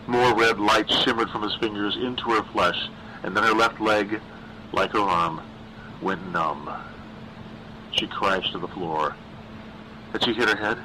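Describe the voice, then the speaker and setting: A man narrates horror fiction in a lowish, bright and tense voice. His reading is scripted and dramatic, leaning on key words. There is faint noise behind him. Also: steady tone, a little slow, long pauses, rough recording. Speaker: audiobook narrator.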